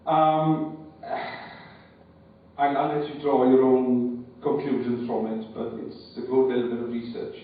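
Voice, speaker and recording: Man, audience speaker at a meeting, halting and careful, heard from a distance in a reverberant hall with dull sound. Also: medium pitch, little pitch movement. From Wales. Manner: hesitant, repeats words, cautious. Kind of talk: unscripted public speech. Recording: echoey hall, far from mic, dull sound.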